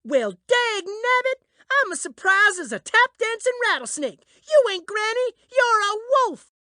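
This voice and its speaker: A woman's voice: very high voice, very animated, a little slow, sharp tone, dry recording, clean studio sound, heavily acted. A woman voicing a plucky little cowgirl granddaughter in a children's cartoon, folksy and exuberant with an American Western accent. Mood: amazed. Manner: folksy, exuberant cowboy twang. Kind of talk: children's cartoon fairy tale acting.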